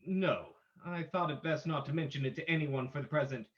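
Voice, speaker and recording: A man playing a bold, confident gentleman detective in an old-time radio drama, theatrical and commanding. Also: fairly high pitch, fairly quick, highs cut off, lightly acted. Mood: brisk, curious.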